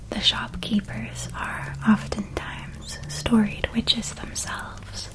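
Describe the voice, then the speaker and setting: A woman voicing a gentle, reassuring witch guide in a whispered ASMR roleplay, hushed and soothing as she tells things. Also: medium pitch, close to an everyday voice, poor audio. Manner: hushed, soothing, unhurried storytelling. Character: gentle, reassuring witch guide helping a young academy student.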